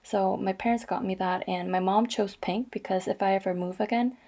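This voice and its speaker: A woman narrating her cross-stitch vlog in a chatty, rambling way, her voice a little raspy and stuffy from allergies. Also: medium pitch, steady tone, a little fast, mellow. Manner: chatty, rambling, frequent ums. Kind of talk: casual voice-over vlog narration.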